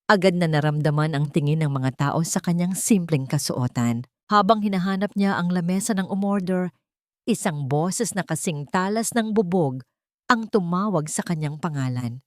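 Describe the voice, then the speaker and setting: A woman's voice: lowish voice, expressive, moderate pace, a little mellow, no room sound, studio quality. A woman storyteller reading a scripted, dramatic love story.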